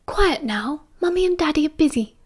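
A woman's voice: very high voice, very animated, normal speed, mellow, voice pushed up. A woman voicing a tired, worried young mother with a tense, clipped manner. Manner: tense, hissed.

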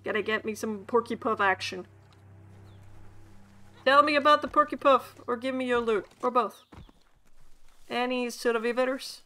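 A woman's voice: a little high, steady tone, a little slow, long pauses, bright, forceful tone. A woman from Sweden with a mild Swedish accent, chatting casually and swearing as she streams a game with rambling asides. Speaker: VTuber streamer. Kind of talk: casual gameplay commentary.

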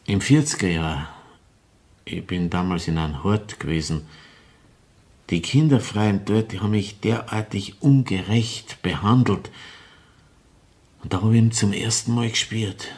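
An actor playing a bitter, woman-hating killer in a crime radio drama, confessing deliberately in Viennese dialect. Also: lowish voice, a little mellow. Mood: bitter, brooding. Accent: Viennese Austrian. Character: confessing opera-house murderer, brooding, bitter woman-hater in his thirties.

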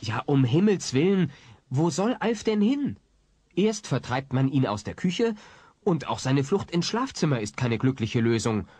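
A man's voice: mid-range voice, sing-song, unhurried, a little mellow, slightly rough. A man narrating a sitcom audio drama from a script in a wry way.

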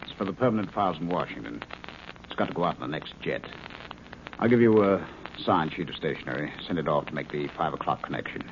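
Clipped scientist director, dictating style, lively intonation, muffled vintage radio, faint hiss. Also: male voice, extremely low pitch, fast. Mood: brisk. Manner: clipped, dictating in official terms. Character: brisk male scientist directing a secret base. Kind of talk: 1950s radio drama acting. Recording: dull old radio recording, faint hiss.

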